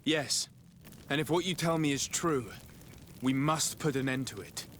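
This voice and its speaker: An actor playing an earnest, courteous diplomat in a fantasy video game, polite and assured. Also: male voice, on the high side, measured pace, a bit bright, slight background noise. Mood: concerned. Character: young male diplomat legate, earnest and courteous.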